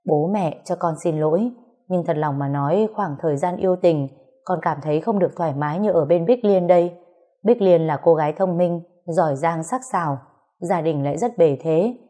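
A woman's voice: lowish voice, moderate pace, mellow, close to an everyday voice. A woman voicing a polite young adult son who pleads with his parents in an audio story. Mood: pleading.

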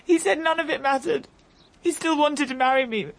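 A young woman wounded in love in a radio drama. Her high voice is tearful and distraught, full of hurt. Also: moderate pace, creaky.

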